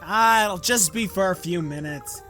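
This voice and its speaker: An actor doing a slow, goofy skeleton prankster. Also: female voice, fairly low pitch, a bit bright, faint music behind. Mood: playful, teasing. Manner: easygoing, joking, drawling. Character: playful, macabre, slow-witted male skeleton prankster. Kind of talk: audio drama acting.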